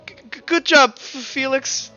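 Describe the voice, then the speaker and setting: Stammering, encouraging roleplay character, pitched high, acted by a male game master. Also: steady tone, unhurried, pushed and bright, dull audio, faint music behind, heavily acted.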